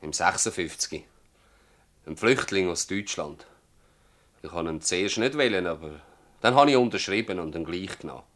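An older village farmer in a radio play, with a fairly low voice and a Swiss German accent. He is stubborn and troubled, blunt in his words and plaintively insistent. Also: expressive, normal speed, pauses often, fairly bright tone. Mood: bitter. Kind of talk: radio drama acting in dialect.

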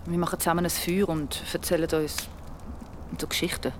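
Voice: medium pitch, level tone, brisk, a little hiss or hum, close to an everyday voice. An actor playing a casual, chatty campfire friend in a Swiss German radio play. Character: chatty friend at a monthly full-moon campfire.

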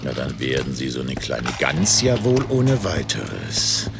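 A man's voice: sing-song, unhurried, gravelly, heavily acted. An actor playing a big, pompous, middle-aged opera-singer father in a children's radio play, theatrical and blustering. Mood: scheming, gleeful. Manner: theatrical, pompous, swooping between low muttering and high outbursts. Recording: loud music behind the voice.

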